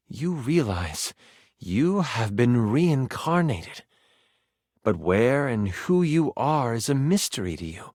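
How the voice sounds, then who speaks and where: A man voicing an earnest, excitable, nerdy game master in a video game, with a theatrical tabletop narrating manner. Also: medium pitch, no echo, clean studio sound, exaggerated voice. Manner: theatrical, earnest, awkwardly gushing.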